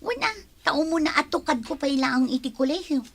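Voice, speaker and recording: A haughty young woman at college in a radio play. Her voice is high, and she answers curtly with a snippy, aloof edge. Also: expressive, a little fast, creaky. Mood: snippy, annoyed. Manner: curt, haughty. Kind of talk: radio drama acting.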